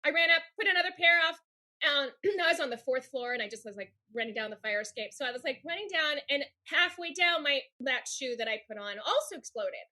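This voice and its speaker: A woman's voice: high-pitched, animated, brisk, sharp tone. A woman guest on a podcast, telling rambling stories in casual chat, with lots of fillers and laughs.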